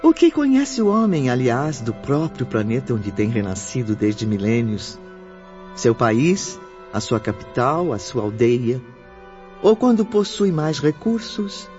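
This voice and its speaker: A man's voice: mid-range voice, darkish tone. A man with a Brazilian accent narrating a scripted radio drama in a solemn, declamatory way. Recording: dull lo-fi recording, faint music.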